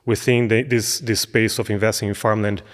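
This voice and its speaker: A fairly low-voiced middle-aged man from Brazil, talking as an interview guest. He speaks in long, thoughtful stretches, pausing and restarting as he finds his words. The room carries a long reverb tail. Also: level tone. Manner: thoughtful, searching for words with many fillers and restarts. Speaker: podcast guest, business analyst. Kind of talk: conversational interview.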